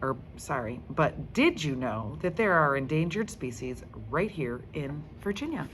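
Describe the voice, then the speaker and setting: An earnest schoolteacher read by a woman addresses a class in a children's storybook. The delivery is instructive and encouraging, slow and very melodic. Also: low-pitched, sing-song, a little hiss or hum. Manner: instructive, emphatic.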